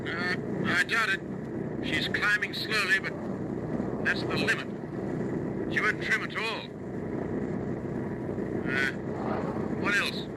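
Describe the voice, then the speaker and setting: An actor playing a calm, commanding bomber captain in a radio war drama, clipped and concerned for his crew. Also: sits high, slow, long pauses, very noisy. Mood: weary, resolute. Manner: clipped, authoritative radio-call delivery. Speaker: aircraft captain. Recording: old radio recording, loud aircraft engine drone.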